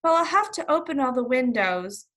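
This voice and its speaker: A woman's voice: high-pitched, expressive, normal speed, a bit bright. A woman reading the part of a polite, earnest, middle-aged man in a children's storybook, explaining things carefully. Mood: apologetic, firm. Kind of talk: children's storybook read-aloud.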